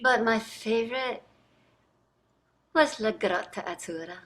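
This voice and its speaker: A woman's voice: a little high, unhurried, fairly bright tone. An older woman playing a wealthy, weary and sardonic wife and mother in a staged play reading, pointed and dramatic in her delivery. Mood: nostalgic.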